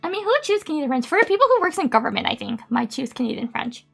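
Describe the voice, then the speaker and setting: A woman with a high voice and a gravelly edge chats casually on a live stream, giggling often and filling pauses with "um" and "like". She is a native Japanese speaker. Faint music plays behind her. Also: big pitch swings, a bit bright. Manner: chatty, giggly, many fillers.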